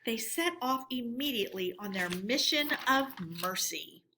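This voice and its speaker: A woman's voice: fairly high pitch, expressive, measured pace, background noise. A woman teacher reading a children's story aloud expressively and putting questions to her pupils.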